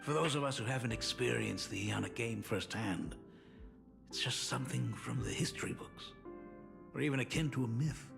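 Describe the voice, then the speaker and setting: An actor playing a doting, joking older father in a video game, with a gravelly, lively voice. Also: medium pitch, moderate pace, long reverb tail, over music. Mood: warm.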